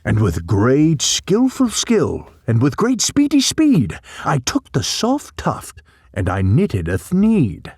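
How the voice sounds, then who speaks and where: A man's voice: medium pitch, wildly swooping pitch, slow pace, darkish tone, slightly rough, no room sound, clean studio sound, full character voice. A man playing an old, grumpy, secretive recluse who tells his tale dramatically in a storybook read-along. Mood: wistful, excited.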